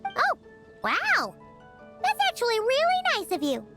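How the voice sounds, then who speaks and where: A woman voicing a small, excitable childlike fairy companion in a video game, squeaky and perky, with music behind. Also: measured pace, heavily acted. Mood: excited. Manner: perky, blunt, exclaiming. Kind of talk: anime-style video game voice acting.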